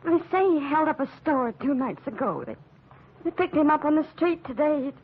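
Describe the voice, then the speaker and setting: A timid, distraught young woman in a vintage radio melodrama. Her high voice halts and pleads earnestly on a dull old recording. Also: animated. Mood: nervous, halting. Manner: halting, pleading. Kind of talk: 1940s radio melodrama acting.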